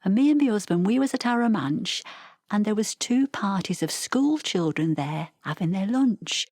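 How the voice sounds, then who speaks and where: An actress playing an elderly veteran's wife with a Northern English accent, reminiscing in a plain, reflective audio-play monologue. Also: female voice, medium pitch, lively intonation, normal speed, a little mellow, no echo, clean studio sound. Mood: fond reminiscence. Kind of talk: verbatim theatre monologue.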